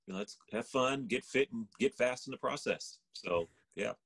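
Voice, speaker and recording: A man's voice: mid-range voice, animated, normal speed, gravelly, a bit bright, breathy edge, no room sound. A man co-hosting a podcast as a running coach, giving casual motivational talk.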